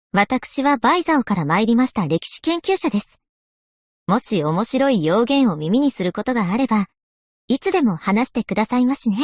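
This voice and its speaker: An earnest young woman historian for a game. Her voice is high and lively in melody, recorded dry and close. She speaks with very formal, genteel courtesy. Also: no echo. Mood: polite, earnest. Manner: very formal, courteous, refined phrasing. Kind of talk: scripted game character voice lines.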